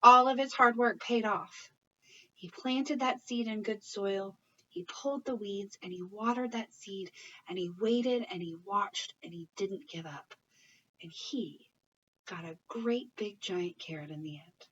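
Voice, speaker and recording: A woman reading a picture book aloud as an educator, talking to children and parents in an expressive, encouraging, questioning way. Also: mid-range voice, measured pace, recorded from a distance, muffled recording.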